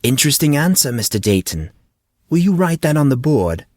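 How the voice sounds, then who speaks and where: Man acting formal schoolteacher, raised pitch. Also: big pitch swings, moderate pace, a little mellow, no room sound, studio quality. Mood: pleased. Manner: formal, precise questioning. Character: male schoolteacher, formal, probing, commanding in class.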